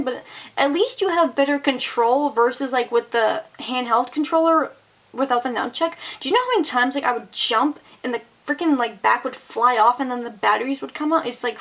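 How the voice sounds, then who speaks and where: A young woman with a high-pitched voice, answering viewer questions in a casual chat, full of fillers and exasperated asides. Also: fairly bright tone. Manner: chatty, exasperated, fillers of "like" and mild swearing. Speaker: channel host.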